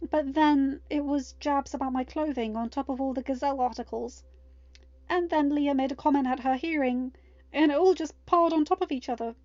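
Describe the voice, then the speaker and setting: A woman's voice: high-pitched, darkish tone, no room sound, highs cut off, pitched above the performer's own voice, close to an everyday voice. A woman voicing a vulnerable teenage daughter with a scoffing streak, earnest and hesitant, in a storybook reading.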